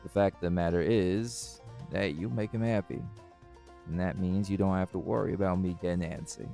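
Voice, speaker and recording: A man's voice: deep voice, fairly even intonation, normal speed, creaky, warm tone, soft background music. A man playing a charming, unbothered cowboy desperado in an intimate audio roleplay, folksy and suave with teasing endearments. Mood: calm, reassuring.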